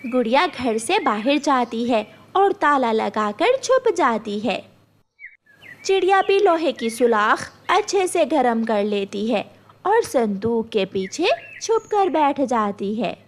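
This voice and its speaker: A woman narrates a children's story in a high voice. She reads the script slowly, with very varied intonation. Slight noise can be heard behind her. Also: very animated.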